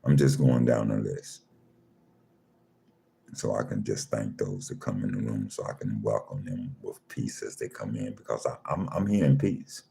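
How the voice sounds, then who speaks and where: Male host, very deep dark voice with heavy fry, calm and unhurried, live-room chat. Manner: slow, calm, repeats himself, reads names off a screen. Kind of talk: casual livestream chat, greeting listeners.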